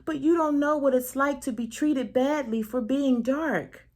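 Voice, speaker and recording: A woman's voice: sits high, a little slow, a little mellow. A woman voicing the spirit of night in a children's storybook, a hurt but proud sister who speaks earnestly and plainly.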